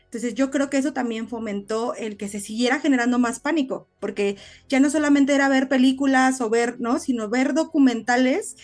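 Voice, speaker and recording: A woman's voice: high voice, a little fast, a little mellow, faint music behind. A woman with a Mexican accent co-hosting a casual podcast, talking in a rambling, searching way. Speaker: podcast co-host.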